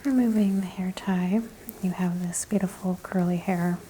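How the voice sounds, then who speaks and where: A woman whispering gently in an ASMR spa roleplay, softly and slowly describing each thing she does in a breathy voice. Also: on the low side, fairly even intonation, slight background noise. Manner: slow, gentle, step-by-step narration of actions. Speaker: ASMR performer playing a spa practitioner.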